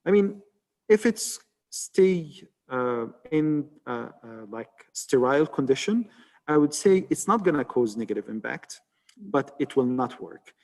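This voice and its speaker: A man's voice: mid-range voice, very animated, measured pace, darkish tone, big-room sound. A man from Egypt who researches malaria vaccines, answering on a webinar panel in an explanatory way with many "uh" and "like" fillers over a video call.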